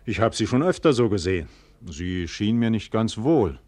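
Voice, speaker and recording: A confident carefree nobleman in an old 1940s radio play, lowish voice, grand stagey delivery. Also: sing-song, moderate pace, a little mellow, no room sound. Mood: light, carefree. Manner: declamatory, self-assured. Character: proud, carefree, confident nobleman of high rank. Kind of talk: 1940s German radio play acting, theatrical stage diction.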